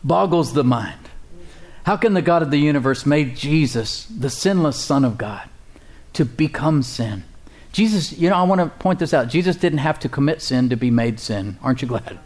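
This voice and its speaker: Pastor preaching with folksy warmth. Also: male voice, fairly high pitch, lively intonation, moderate pace. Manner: conversational preaching, chuckles, emphatic repetition. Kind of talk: sermon.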